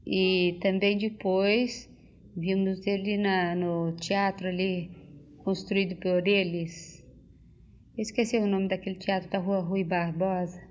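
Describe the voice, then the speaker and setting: An older woman, recalling things as an interviewee and often pausing to search for words and restart. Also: mid-range voice, flat delivery. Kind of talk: casual spoken reminiscence. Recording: dull lo-fi tape recording.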